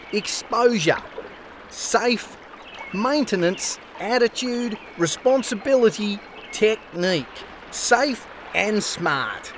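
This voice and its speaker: A man's voice: sits high, slight background noise, heavily acted. A man voicing a cheerful, friendly cartoon koala mascot with an Australian accent, giving scripted safety advice in an upbeat, instructive way. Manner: upbeat, instructive, clearly enunciated. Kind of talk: scripted children's-style safety narration.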